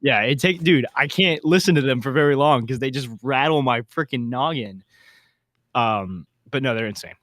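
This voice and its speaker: A man's voice: medium pitch, moderate pace, a bit bright, no echo. A man hosting a podcast in casual panel chat, with a slangy, joking way of talking. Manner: slangy, wisecracking. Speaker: podcast host.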